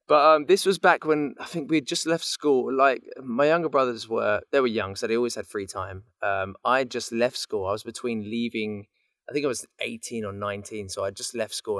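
A man in his thirties talks in an easy, playful way, as if telling stories to friends. His voice sits at an ordinary male pitch, and the sound is dry with very little room in it. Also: a bit bright, no room sound. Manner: playful, laughs easily while talking. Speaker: voice actor guest. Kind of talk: casual chat, telling anecdotes.

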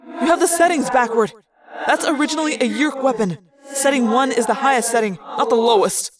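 A woman's voice: on the high side, racing, a crowd in the background, close to an everyday voice. A woman voicing a male giant alien worm in a science fiction story, crazed with hunger and frantic with anguish. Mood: urgent warning. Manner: anguished, pleading, frantic outbursts.

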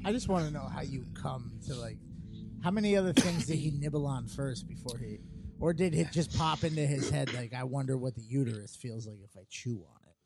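Young male podcast co-host, rough fry in the voice, loose swearing banter over music. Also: mid-range voice, fairly quick. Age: young adult. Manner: joking, rambling, swearing. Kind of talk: casual banter.

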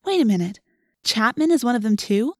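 Narrator doing an incredulous, high-pitched teenage girl. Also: lively intonation, a little fast, no room sound, natural voice. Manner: questioning, dry. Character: teenage girl, incredulous, dry and sharp-tongued.

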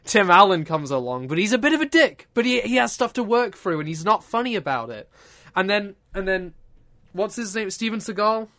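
A man's voice: high voice, very animated, fairly quick, fairly bright tone. A man hosting a casual podcast, rambling and joking and often restarting his sentences. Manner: rambling, self-interrupting, joking.

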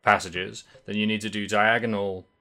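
A man's voice: fairly low pitch, little pitch movement, moderate pace, bright, forceful tone. A young man co-hosting a podcast, chatting casually and rambling, correcting himself and swearing now and then. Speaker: podcast co-host. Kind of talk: casual podcast chat about a video game.

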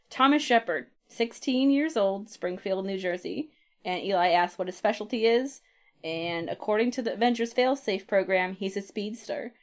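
A woman co-hosts a casual podcast, recapping a comic story in a conversational way. Her voice sits at a medium pitch. She is playful, voicing quoted lines and dropping in asides as she goes. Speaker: podcast host.

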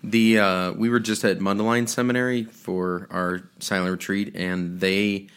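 A man with a slightly low voice hosts a casual podcast. He tells stories with playful, self-mocking humor. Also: little pitch movement, unhurried, fairly bright tone, smooth. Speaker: priest, podcast host. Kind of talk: casual chat.

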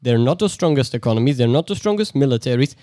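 A man's voice: mid-range voice, animated, fast talker, dark tone, no room sound, clean studio sound. A man in a casual discussion who hesitates with many "uh"s and speaks bluntly.

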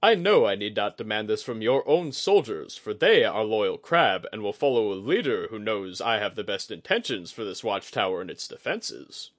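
Male narrator as belligerent fantasy war commander: harsh, boastful, commanding, animated delivery. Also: lowish voice, normal speed, few pauses. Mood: stern, commanding. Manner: loud, commanding, scornful.

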